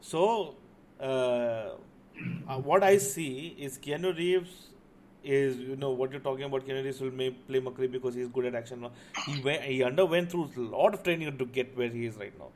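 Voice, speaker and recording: A man's voice: mid-range voice, moderate pace, a little mellow. A man hosting a casual group chat as a podcast host. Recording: noisy, background room ambience.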